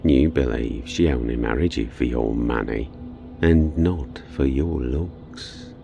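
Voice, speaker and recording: A man playing an ancient evil spirit inside a boy in an audio horror drama, sly, menacing and taunting, with a dark, gravelly, deliberate delivery. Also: very deep voice, highs cut off, faint music behind, full character voice.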